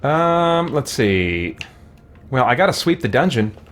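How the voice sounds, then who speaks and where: A man's voice: medium pitch, very animated, slow, fairly bright tone, slight background noise. A man hosting a let's play with casual, conversational commentary, musing and easily amused. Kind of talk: casual gaming commentary with chat reading.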